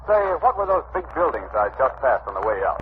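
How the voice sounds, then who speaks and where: Quick, lively vintage radio interviewer man. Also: medium pitch. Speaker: advert interviewer. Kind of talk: scripted vintage radio advert dialogue. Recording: tinny, noisy old radio broadcast.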